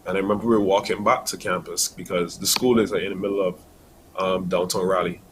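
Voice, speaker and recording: A young man from Barbados with a Barbadian accent, a university student answering interview questions earnestly, with many pauses and restarts. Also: sits low, steady tone, noisy background.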